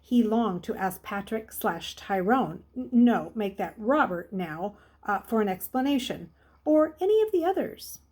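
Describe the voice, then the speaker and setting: A woman narrates a children's novel, reading it aloud. Her voice sits at a medium pitch and is a little husky. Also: expressive, unhurried, darkish tone. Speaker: story reader.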